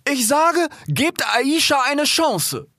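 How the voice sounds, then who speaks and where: A man's voice: very high-pitched, sing-song, slow pace, bright, forceful tone, dry recording, pitched above the performer's own voice, full character voice. A man voicing an assertive, indignant female giraffe in a storybook. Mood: indignant, persuasive.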